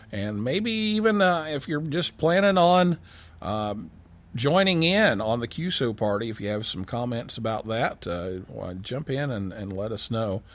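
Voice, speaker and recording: A man hosting a call-in radio show in a casual, halting, informal way. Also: mid-range voice, creaky. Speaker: radio show host, science teacher.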